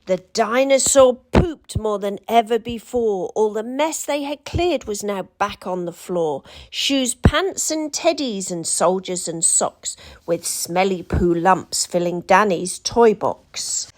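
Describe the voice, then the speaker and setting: A British woman storyteller reading a children's picture book aloud with an expressive, deliberate, dramatic delivery. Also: mid-range voice. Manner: deliberate, dramatic rhyming delivery for young listeners.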